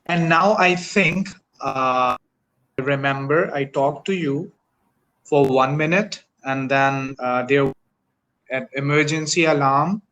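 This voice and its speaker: A man's voice: medium pitch, slow pace, fairly bright tone, poor audio. A man from Pakistan, whose first language is Urdu, chatting on a video call in careful, hesitant English with frequent uhs. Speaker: English teacher and channel host. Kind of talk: casual video call chat.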